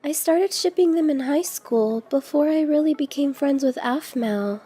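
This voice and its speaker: A woman voicing a cute, earnest young woman in an animated roleplay series, sentimental and obsessed with matchmaking her friends. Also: high voice, normal speed, close to an everyday voice. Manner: earnest, wistful, sentimental confession. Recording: music behind the voice.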